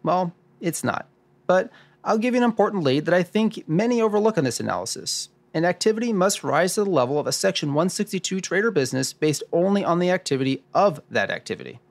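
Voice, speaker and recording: Man acting wry noir sleuth, creaky and animated. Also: sits high, quick pace. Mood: expository. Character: wry, self-narrating noir tax sleuth with flapping lips. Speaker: host and narrator. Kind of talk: 1940s noir radio drama pastiche.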